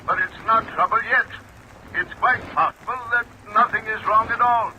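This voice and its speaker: A man's voice: sits high, moderate pace, pushed and bright, muffled recording. An actor playing a formal, hesitant captain in an old radio drama, courteous and evasive about his wife. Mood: polite, evasive. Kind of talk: 1950s radio drama acting. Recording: noisy old radio recording, rain behind.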